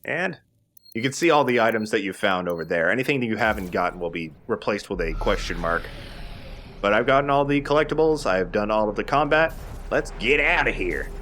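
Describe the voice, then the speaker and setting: A man's voice: fairly low pitch, animated, fairly quick, fairly bright tone, slight background noise. A man giving casual, chatty commentary as he explains a video game. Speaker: let's play host.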